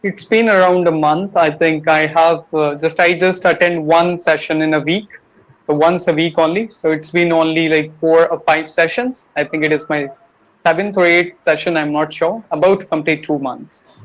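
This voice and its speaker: Polite young Indian man, higher voice. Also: steady tone, normal speed, highs cut off. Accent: Indian English. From Kanpur, Uttar Pradesh, India. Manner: polite, slightly formal phrasing. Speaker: YouTuber and English learner. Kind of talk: casual online conversation.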